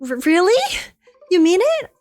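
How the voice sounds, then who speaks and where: A woman's voice: extremely high pitch, wildly swooping pitch, unhurried, darkish tone, no room sound, soft background music, voice pushed up, full character voice. A woman voicing a shy, flustered young student intern in a dramatic story read-aloud, stammering and hesitant. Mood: thrilled.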